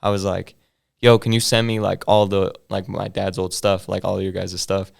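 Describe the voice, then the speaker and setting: A young man, a hip hop artist, talking casually in an interview with a deep, bassy voice that he feels comes out mumbled, in laid-back slang full of fillers. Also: level tone, normal speed, gravelly.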